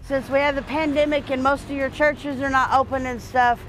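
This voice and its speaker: An older woman telling her story plainly and loudly in an outdoor interview, often repeating words as she starts a thought. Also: high voice, flat delivery, moderate pace, faint music behind, rough recording. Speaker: church volunteer. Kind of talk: personal testimony interview. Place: outdoors in front of a church.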